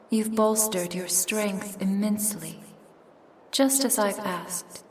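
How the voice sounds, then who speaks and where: A woman's voice: mid-range voice, a little mellow, long reverb tail, far from the mic, slight background noise, lightly acted. A woman playing a cold, commanding otherworldly schemer in a roleplay ASMR, menacing, imperious and formal.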